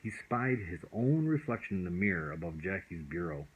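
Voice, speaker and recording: A man reading a children's bedtime story as a father, in a deliberate way with the odd stumble. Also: sits low, sing-song, slightly rough, phone-quality sound.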